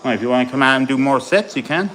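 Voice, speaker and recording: A man's voice: medium pitch, expressive, rapid-fire, a bit bright, a little hiss or hum, rough recording. A man born in Tennessee and raised in Ireland, a bodybuilding coach chatting casually with his live-stream audience between sets at the gym. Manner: chatty, rambling, answers chat while training.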